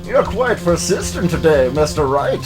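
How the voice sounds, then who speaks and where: A man's voice: on the high side, very animated, moderate pace. A man voicing an old, ponderous courtroom judge in a video game, formal and easily surprised. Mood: courteous. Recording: music playing behind the voice.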